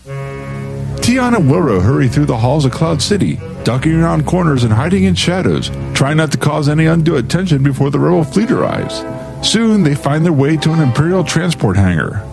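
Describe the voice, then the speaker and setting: A man delivers scripted narration for a read-along adventure story. His voice is slightly high, set over clear music and sound effects. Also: big pitch swings, normal speed.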